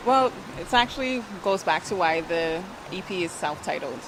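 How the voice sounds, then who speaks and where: A young woman talks about her music in a relaxed, chatty way and laughs easily. Her voice sits on the low side. Clear background noise from an outdoor event runs under her speech. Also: normal speed. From Grenada, raised in Barbados. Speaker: singer-songwriter guest. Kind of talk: casual interview.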